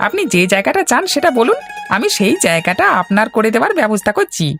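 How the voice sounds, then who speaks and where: A woman plays a sly little cartoon henchman with a high, very animated voice. The character fawns and wheedles obsequiously, with faint music in the background. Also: fast. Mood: fawning, plotting. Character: fawning, sly male henchman of village boss. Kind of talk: cartoon voice acting.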